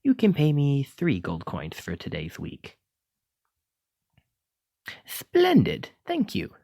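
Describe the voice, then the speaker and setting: A man's voice: mid-range voice, wildly swooping pitch, slow, warm tone, no echo, clean studio sound, heavily acted. A man playing a clumsy, kindly wizard teacher in an ASMR roleplay, with theatrical, old-fashioned, encouraging phrasing. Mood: delighted, encouraging. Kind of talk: ASMR roleplay addressed to the listener.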